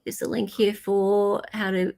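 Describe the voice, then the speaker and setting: A woman's voice: mid-range voice, monotone, measured pace, creaky, warm tone. An Australian woman hosting a livestream in a chatty, informal way, effusive when she gives thanks. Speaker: livestream host. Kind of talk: casual livestream chat.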